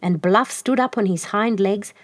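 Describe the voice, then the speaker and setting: A woman tells a children's tale in a mid-pitched voice. The sound is dry and close, with no room around it. Her delivery is expressive, in the way of storybook narration for little listeners. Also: moderate pace, no echo.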